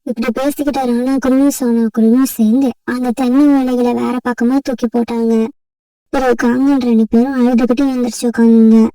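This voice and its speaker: A woman's voice: on the high side, flat delivery, a little fast, warm tone, no echo, distant mic, low-quality recording. A woman narrating a scripted children's story as the storyteller.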